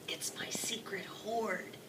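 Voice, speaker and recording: Woman reading as an excitable, scheming old grandpa. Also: mid-range voice, slow pace, in a whisper, far from the mic, close to an everyday voice. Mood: conspiratorial. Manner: hushed, urgent, emphatic storybook delivery.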